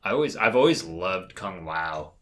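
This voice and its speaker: A man's voice: sits low, animated, moderate pace, fairly bright tone. A man co-hosting a casual podcast chat about games and films. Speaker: podcast co-host.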